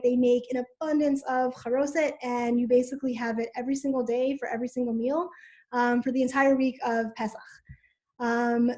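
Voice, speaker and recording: A woman's voice: sits high, dark tone. A woman teacher who grew up in Israel, explaining informally on a video call with many ums. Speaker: middle school teacher.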